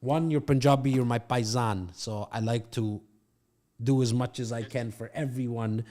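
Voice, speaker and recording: A man's voice: medium pitch, fairly even intonation, normal speed, warm tone. A middle-aged man hosting a podcast, coaching a guest in a brash, profane pep-talk manner from his studio. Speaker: podcast host and real estate coach. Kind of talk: casual mentoring chat. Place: home studio.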